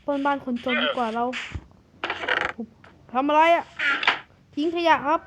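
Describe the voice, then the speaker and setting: A woman with a high, light voice narrates a game cartoon and hops from one character's lines to the next. Her delivery is playful and lively throughout. Clear background noise runs under the recording. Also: measured pace.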